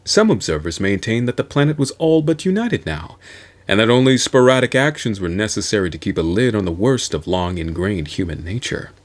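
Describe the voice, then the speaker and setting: A man reading a science fiction novel aloud as host, with a theatrical, deliberate delivery. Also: fairly low pitch, animated.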